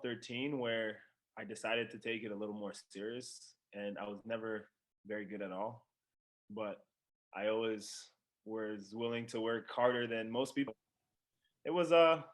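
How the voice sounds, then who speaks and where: A man's voice: fairly low pitch, fairly bright tone. A young man from the Democratic Republic of Congo speaking casually as an interviewed professional athlete. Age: young adult. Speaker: professional soccer player being interviewed.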